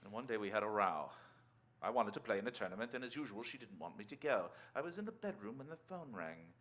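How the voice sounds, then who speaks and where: An actor playing a suave, calculating former tennis star in a radio drama, with an affable, glib, urbane manner. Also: male voice, lowish voice, lively intonation, fast talker, a bit bright. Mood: brooding, calculated. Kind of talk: stage radio-drama acting.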